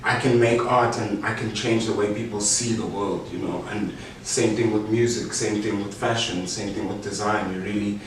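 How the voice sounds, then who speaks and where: A man's voice: on the low side, steady tone, moderate pace, few pauses. A South African man whose first language is Afrikaans, speaking in a reflective, articulate way with frequent "you know" and restarts. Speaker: artist and guest. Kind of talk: casual conversation. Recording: roomy space, distant mic, faint noise.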